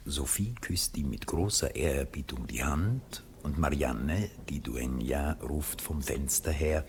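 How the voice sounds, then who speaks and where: An actor serving as the calm narrator of a 1960s radio drama, reading scripted stage directions. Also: male voice, extremely low pitch, unhurried. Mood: calm narration. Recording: 1960s radio recording, faint hiss.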